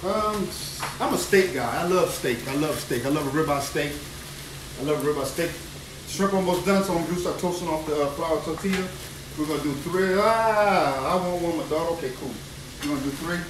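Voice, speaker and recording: Excitable New chef in an echoey kitchen. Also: male voice, a little high, brisk. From New Orleans. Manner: exuberant, repeats phrases, chatty. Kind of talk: live cooking stream chat. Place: home kitchen, indoors. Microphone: phone camera moved around the kitchen. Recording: echoey kitchen, phone mic at a distance, noisy frying. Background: frying and sizzling food.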